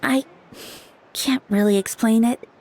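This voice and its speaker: Dreamy lovesick game girl, really high voice, slow n breathless, hesitant. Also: steady tone, a little mellow. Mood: wistful. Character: dreamy, lovestruck, flustered young townswoman. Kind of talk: video game voice acting.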